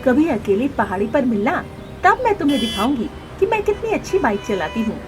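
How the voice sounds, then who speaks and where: A woman's voice: on the high side, sing-song, brisk, over music. A woman voicing a defiant young female cartoon bird who argues back assertively. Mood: confident.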